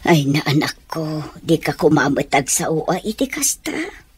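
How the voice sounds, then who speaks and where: A woman with an Ilocano accent playing a concerned older mother who gives gentle counsel in a radio drama. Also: low voice, sing-song, unhurried, slightly rough, exaggerated voice. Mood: counselling.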